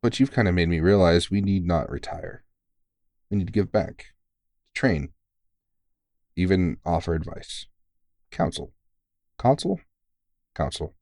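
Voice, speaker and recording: A low-pitched man performing as a battle-worn vampire hunter in an ASMR audio roleplay. He is tired but kind, reassuring a rookie with teasing, informal, sweary talk. The sound is dry, with very little room to it. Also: animated, a little slow, pauses often, darkish tone, no room sound. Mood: fond, reassuring. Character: tired, kindly veteran male vampire hunter mentoring a rookie.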